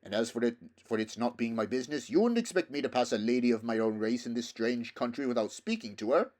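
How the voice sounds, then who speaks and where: A man's voice: medium pitch, lively intonation, fast talker, a little mellow, close to an everyday voice. A man voicing an indignant, blustering talking horse in a storybook, with emphatic, snorting delivery. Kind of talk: storybook character voice.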